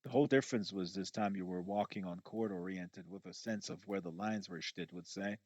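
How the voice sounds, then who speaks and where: A man's voice: low voice, fairly even intonation, a little fast, no echo, pitched below the performer's own voice. A man voicing a laconic young man who questions his brother in a casual, prodding way, in a novel reading. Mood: probing. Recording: dull, lo-fi audio.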